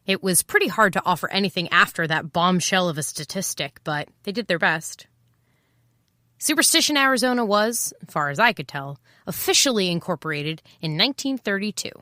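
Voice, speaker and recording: An actress playing a guarded, wry private eye in an understated noir audio drama. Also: female voice, fairly low pitch, bright, forceful tone, dry recording, studio quality. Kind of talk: noir first-person audio drama narration and dialogue.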